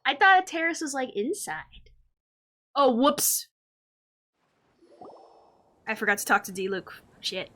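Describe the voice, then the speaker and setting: A woman's voice: sits high, big pitch swings, takes it slowly, long pauses, a bit bright. A woman live-streaming a game with casual, slangy, reactive commentary and offhand swearing.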